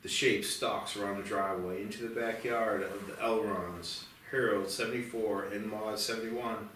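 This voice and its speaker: A man's voice: lowish voice, steady tone, moderate pace, fairly bright tone. A man reading out screenplay stage directions as narrator at a table read. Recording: echoey room, distant mic, faint noise.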